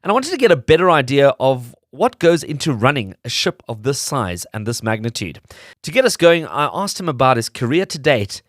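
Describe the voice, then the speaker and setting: A man's voice: medium pitch, very animated, a little fast, dry recording, studio quality. A man hosting a radio show, narrating from a script to his listeners. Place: dry studio.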